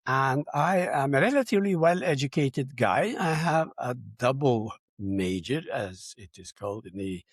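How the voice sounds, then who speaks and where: Older man, husky voice. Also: mid-range voice, dry recording. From Turkey. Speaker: guest, computer science educator. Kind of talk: interview self-introduction, conversational.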